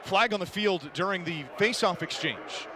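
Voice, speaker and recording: A man giving an energetic, descriptive live radio play-by-play commentary of a lacrosse game. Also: high voice, moderate pace. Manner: energetic, descriptive running call of the action. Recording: noisy live broadcast, game crowd behind. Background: crowd and ambient game noise.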